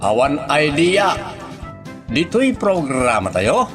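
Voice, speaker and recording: A man's voice: a little high, big pitch swings, slow pace, fairly bright tone, soft background music. A man with an Ilocano accent announcing a drama program in a scripted introduction.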